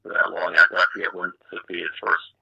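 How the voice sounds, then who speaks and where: A man on a muffled telephone line, answering as an official in brief, halting replies. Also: low voice, completely flat delivery, vocal fry, husky. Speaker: space agency program manager taking a call. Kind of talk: hesitant phone conversation.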